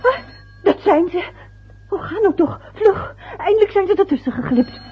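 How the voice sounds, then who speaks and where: A woman's voice: very high-pitched, animated, normal speed, faint music behind. An actress playing an anxious, bossy older mother in an old radio play. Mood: impatient. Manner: impatient, bossy. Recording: dull lo-fi old radio recording.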